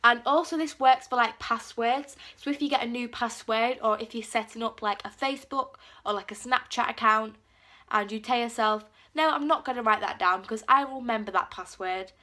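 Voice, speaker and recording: A woman's voice: a little high, normal speed, bright, forceful tone. A teenage girl with a cold, chatting casually to camera and filling her talk with "like". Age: teens. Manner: chatty, lots of "like" fillers, acts out quotes. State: has a cold. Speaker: vlogger.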